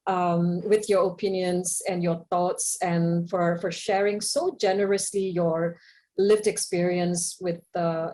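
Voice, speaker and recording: A woman hosts a conversational interview podcast. Her voice sits at a middle pitch, and she speaks effusively, heaping appreciation on her guest. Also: mellow. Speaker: podcast host.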